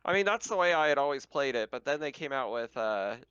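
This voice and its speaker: A man's voice: medium pitch, lively intonation, fairly quick, a bit bright, smooth, no room sound, lo-fi sound. A man casually talking through rules as the game master of a tabletop roleplaying session.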